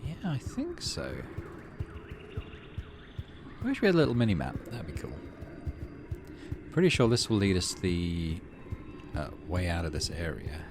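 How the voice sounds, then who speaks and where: A man doing casual, low-key game commentary and reading story text aloud as he plays. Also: sing-song, moderate pace, noisy background. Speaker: gaming channel host. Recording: music behind the voice. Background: game music.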